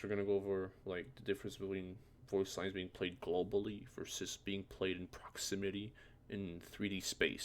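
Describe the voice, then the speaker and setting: Deep, creaky-voiced man giving a casual tutorial. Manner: informal, hesitant, thinking aloud while demonstrating. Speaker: tutorial presenter. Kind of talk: casual screen-recorded software tutorial. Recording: noisy home recording with keyboard clicks. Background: background noise, computer keyboard and typing.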